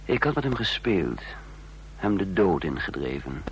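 An actor playing a stern intelligence chief who also narrates a radio drama, grave, deliberate and authoritative. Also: male voice, low-pitched, very animated, slight background noise, heavily acted, low-quality recording. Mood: grave, haunted. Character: commanding intelligence chief, coldly furious, remorseful narrator. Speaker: narrator and lead character. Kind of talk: dramatic radio play acting.

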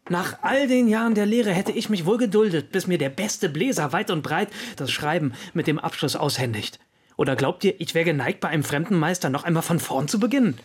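An actor playing a defiant apprentice musician under suspicion in a period radio drama, defensive and insistent. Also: male voice, a little high, lively intonation, fairly quick, husky. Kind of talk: German radio drama acting in period speech.

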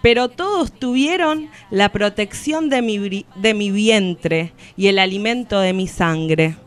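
An actress playing a defiant woman who speaks for all women, in a solemn, deliberate radio theatre recitation. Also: mid-range voice, soft background music, lightly acted. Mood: proud, grieving. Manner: solemn, deliberate, poetic declamation. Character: defiant collective woman of all ages, grieving and accusing.

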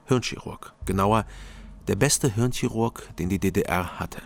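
A man's voice: fairly low pitch, a little slow, gravelly. A man in his thirties narrating a crime radio drama in the first person, dry and reflective. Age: 30s. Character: former-drunk male detective narrator, world-weary.

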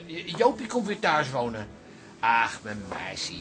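A man's voice: medium pitch, wildly swooping pitch, unhurried, music in the background. An actor playing a doting, sentimental father in a radio comedy.

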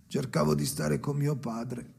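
An actor playing a brooding man haunted by his dead father in an experimental radio drama, theatrical and volatile, moving between muttering and pleading, with a gravelly voice. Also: mid-range voice, fairly even intonation, unhurried, darkish tone.

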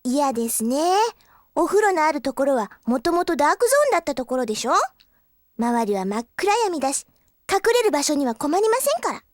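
Very high-pitched, sweet young girl. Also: big pitch swings, a little fast. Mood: earnest, concerned. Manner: polite, girlish, earnest. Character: sweet, naive young girl, polite and excitable. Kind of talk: Japanese audio drama acting.

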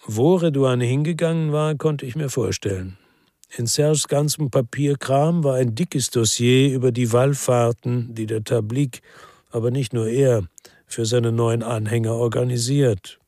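An actor playing a world-weary middle-aged ex-cop, narrating a crime radio drama in a terse, brooding, understated manner. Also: male voice, mid-range voice, fairly even intonation, unhurried, dark tone, dry recording, clean studio sound, natural voice. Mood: tense, matter-of-fact. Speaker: narrator and lead character.

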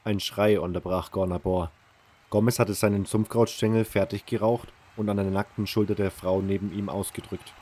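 A man's voice: fairly low pitch, steady tone, normal speed, a little mellow. A man reading scripted first-person fantasy narration as the narrator of an audio drama. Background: music.

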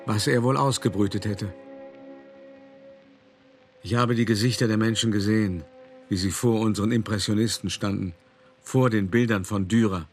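An actor playing an elderly, wry and weary art dealer who recounts his life in a measured, dramatic way in a radio play monologue. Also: fairly low pitch, close to an everyday voice. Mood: reflective, rueful. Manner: measured, dramatic, cultivated raconteur.

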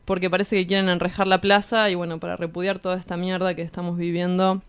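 Woman, Rioplatense Argentine accent, radio host, smooth clear voice, dry close sound, blunt informal chat. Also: lowish voice, little pitch movement, fast talker, no room sound. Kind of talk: casual political radio chat.

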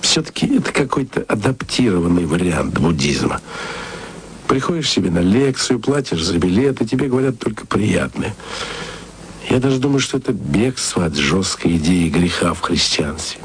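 A man's voice: sits low, very animated, moderate pace, breathy edge. A man narrating a radio play as tender, musing letters spoken to a loved one. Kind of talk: intimate radio-play monologue.

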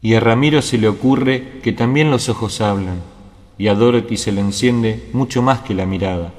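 A man's voice: lowish voice, normal speed, a little mellow, natural voice. A man reciting poetic narration for a radio drama in a lyrical, cadenced way. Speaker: verse narrator. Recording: long hall reverb.

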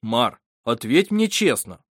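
A somber male comrade in a fantasy storybook, acted by the narrator. He is calm and sober, groaning as hope fades, in a very dry recording. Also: medium pitch, slow, no echo.

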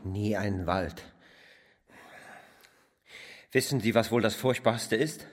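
An actor playing a bitter, self-loathing crippled pawnbroker in a radio drama, theatrical and halting, often dropping to a whisper. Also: male voice, fairly low pitch, lightly acted. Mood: bitter despair. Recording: long hall reverb.

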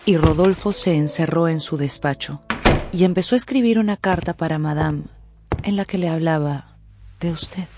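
A woman's voice: sits low, normal speed. A woman as narrator, giving a dramatic scripted literary narration for a radio drama. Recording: music under the narration.

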